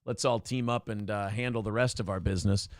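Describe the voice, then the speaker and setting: A man's voice: on the low side, steady tone, fairly quick, dry recording, clean studio sound. A man hosting a casual morning talk show, brash and profane, reading viewer donations aloud and riffing on politics.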